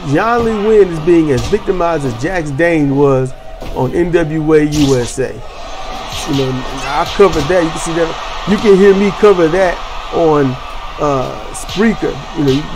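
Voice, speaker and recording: A man hosting and commentating on simulated wrestling matches, energetic and rambling with frequent restarts. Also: on the high side, a little slow, music in the background. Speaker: host and commentator. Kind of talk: video game wrestling commentary.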